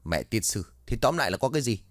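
A man's voice: mid-range voice, sing-song, fast talker, no room sound, studio quality, lightly acted. A man voicing an impatient, swearing older night guard. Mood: exasperated. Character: gruff cursing older male night guard.

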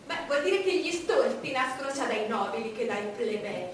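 An actress playing an imperious, vain, solitary English queen in a declamatory stage monologue with dramatic pauses. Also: on the high side, animated, distant mic, natural voice. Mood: mocking, scornful. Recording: noisy, echoey stage recording from afar.

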